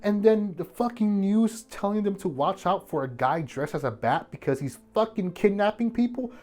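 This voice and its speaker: A man narrating a video essay in an informal, jokey way, with animated asides and some swearing. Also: high voice, normal speed. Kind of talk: scripted video essay commentary with joking asides. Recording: music bed behind the voice. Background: background music.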